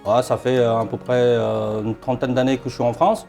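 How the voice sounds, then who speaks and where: A middle-aged man from Vietnam, a chef demonstrating dishes in his restaurant kitchen, talking haltingly with many fillers. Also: mid-range voice, level tone, creaky, a little mellow. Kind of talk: cooking demonstration. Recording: faint music behind.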